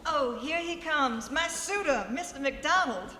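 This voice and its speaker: A woman's voice: sits high, lively intonation, unhurried, sharp tone, exaggerated voice. A woman playing a dreamy, earnest young farm daughter in a comic radio play, gushing and melodramatic. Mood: adoring. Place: live on stage in a ballroom. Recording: echoey live hall, faint background noise.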